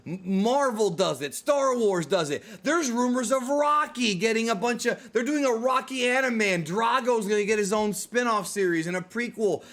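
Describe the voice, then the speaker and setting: A man's voice: very high voice, normal speed, hardly any pauses, fairly bright tone. A man in his thirties hosting a casual video podcast discussion, conversational and opinionated. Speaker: host of a film discussion show.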